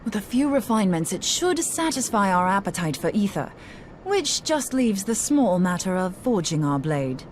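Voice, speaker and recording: A woman's voice: mid-range voice, sing-song, normal speed, lightly acted. An actress playing a spirited young woman scholar in a video game, with an informal, wry, plain-spoken manner. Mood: eager, determined. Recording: clear background noise.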